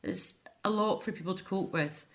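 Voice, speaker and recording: A woman's voice: mid-range voice, moderate pace. A middle-aged woman speaking earnestly and emphatically as a guest in a reflective personal interview.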